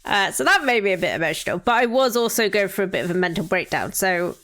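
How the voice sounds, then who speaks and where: Woman in her 30s streaming, chatty, thinks out loud, says hi to chat. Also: mid-range voice, expressive, quick pace. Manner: chatty, thinks aloud, greets viewers by name. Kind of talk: casual live-stream chat while playing a city-building game.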